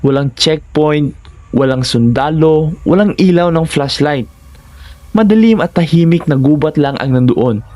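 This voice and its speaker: A Filipino man narrates a scary first-person tale in a soft, mellow voice pitched a little high for a man. He keeps to a measured delivery, setting out short phrases for suspense. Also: expressive. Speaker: horror story narrator.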